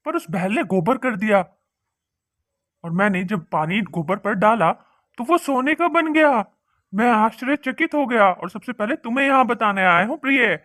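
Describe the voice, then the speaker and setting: A man voicing an excitable fisherman husband in an animated cartoon, with exclamatory, breathy gasps. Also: high voice, normal speed, no echo, clean studio sound. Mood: excited. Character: excitable, greedy but kindly fisherman husband.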